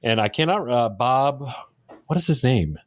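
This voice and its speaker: A man's voice: mid-range voice, lively intonation, a little slow. A man co-hosting a podcast, chatting casually and informally about games. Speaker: podcast co-host. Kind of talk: casual gaming chat.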